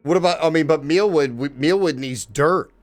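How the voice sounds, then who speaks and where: A man's voice: fairly high pitch, normal speed. A man live-streaming a game, chatting casually with viewers and swearing freely as he plays. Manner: excitable, swears casually, talks to chat.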